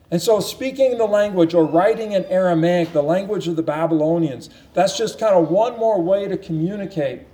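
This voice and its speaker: High-voiced, mellow male preacher. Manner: earnest, repetitive emphasis, frequent restarts. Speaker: pastor. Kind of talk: sermon Bible teaching.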